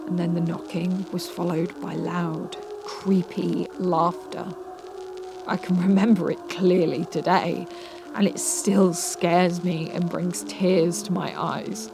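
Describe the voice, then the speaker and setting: A woman narrating a scary story in a measured manner with dramatic pauses. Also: on the low side. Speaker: narrator reading submitted scary stories. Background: music.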